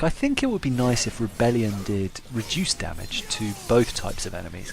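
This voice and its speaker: A man's voice: on the low side, gravelly. A man giving casual, self-deprecating playthrough commentary with a wry sense of humour. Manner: self-deprecating, wryly humorous. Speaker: gameplay commentator. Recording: faint background noise.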